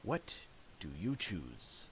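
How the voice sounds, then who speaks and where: A man narrating a casual software tutorial, thinking aloud in a halting way. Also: fairly low pitch, huge pitch swings. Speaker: tutorial presenter. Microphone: voice-over for a screen recording. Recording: hissy amateur voice-over.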